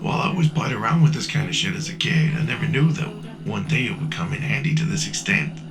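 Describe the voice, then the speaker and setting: A man playing a weathered older scavenger in a sci-fi audio drama, wry, profane and gravelly. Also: on the low side, expressive, quick pace, music in the background. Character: weathered older male wasteland scavenger on a respirator.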